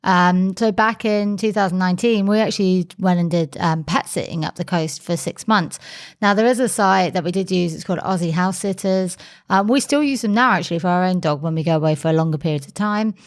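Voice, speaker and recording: A woman's voice: lowish voice, fairly quick. Chatty woman podcast co-host. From England. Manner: chatty, laughs easily, many fillers. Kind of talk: casual podcast chat.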